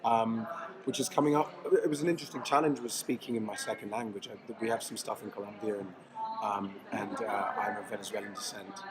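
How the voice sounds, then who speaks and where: A man answers interview questions in a fairly low voice, pausing and restarting as he thinks. A loud convention crowd roars behind him the whole time. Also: fairly even intonation, poor audio. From London, England. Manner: thoughtful, restarts often. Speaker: actor interviewed about his series. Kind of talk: casual press-junket chat. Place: busy convention floor.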